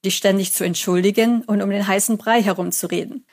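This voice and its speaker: A woman's voice: medium pitch, fairly even intonation, a little fast, a little mellow. A German woman in her thirties, a psychology lecturer, answering an interview in a chatty way and telling stories with quoted examples.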